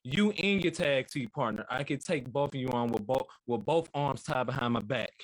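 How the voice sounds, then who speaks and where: A man's voice: medium pitch, moderate pace, rough recording. Man cutting a boastful wrestling promo. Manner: boastful, intense, repetitive taunting. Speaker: podcast guest competitor. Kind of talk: mock wrestling trash-talk promo.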